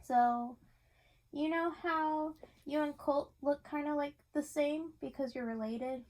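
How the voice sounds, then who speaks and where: High-pitched woman reading a picture book. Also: steady tone, slow pace, a little mellow, poor audio. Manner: encouraging, asks questions. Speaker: reader of a picture book to a child. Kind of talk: interactive storybook read-aloud.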